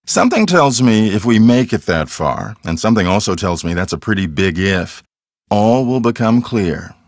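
Cocky, animated ghost hunter. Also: male voice, on the low side, normal speed, no room sound, studio quality. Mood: earnest, intense. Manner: theatrical, self-assured explaining. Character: earnest male TV ghost hunter, cocky paranormal investigator. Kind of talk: video game voice acting.

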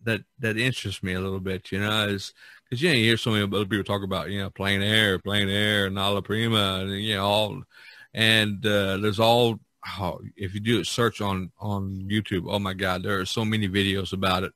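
A man with a fairly low voice hosts a casual conversation. He rambles and restarts phrases as he goes. The sound is very dry, with no room to it. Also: level tone, fairly quick, pushed and bright, no room sound. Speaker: podcast host. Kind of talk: casual chat about painting.

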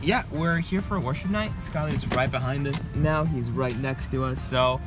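A man's voice: mid-range voice, normal speed, over music. A young man hosting a casual travel vlog, talking loosely and joking into a phone camera. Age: young adult. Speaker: vlogger.

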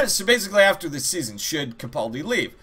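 A man with a fairly high, bright voice hosts a gaming video. He rambles, jokes and swears as he plays, with a very lively delivery and mock-dramatic asides. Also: fairly quick. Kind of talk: casual gameplay commentary. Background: game music.

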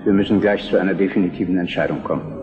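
A man's voice: deep voice, steady tone, rapid-fire, music in the background, lightly acted. An actor playing an aging, weary Prussian king with formal, courtly manners in a German historical radio drama. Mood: formal, firm. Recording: dull lo-fi radio play, echoing hall.

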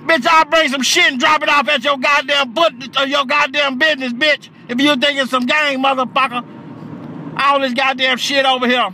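A man voicing an irate, foul-mouthed old nursing home worker, hollering in a high, strained voice on a prank call. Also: level tone, moderate pace, sharp tone, heavily acted. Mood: furious. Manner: agitated, profane, hollering street talk.

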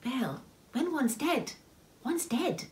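Lady doing a practical kindly storybook woman, calm, raised pitch, echoey room. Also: normal speed, recorded from a distance. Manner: plain-spoken, kindly.

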